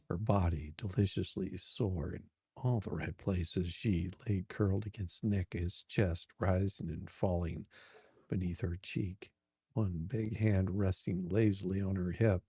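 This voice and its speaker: A man narrating a steamy romance in drawn-out, amused phrases on a close microphone. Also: deep voice, warm tone, husky, no room sound. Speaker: narrator and reader. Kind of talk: dramatic reading of a steamy romance.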